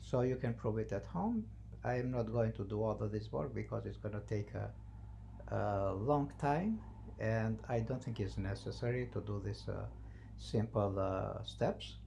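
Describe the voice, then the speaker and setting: A non-native English speaker teaching math, thinking aloud haltingly and repeating phrases while writing out the steps. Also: mid-range voice, creaky, mellow. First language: not English. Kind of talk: math lesson, working through a problem at the board. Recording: noisy, with a constant hum.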